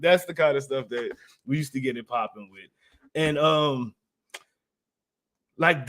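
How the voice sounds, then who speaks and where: A man's voice: medium pitch, fairly bright tone. A man in his thirties hosting his channel, telling stories to camera casually, chatty, laughing often and repeating himself. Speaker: channel host.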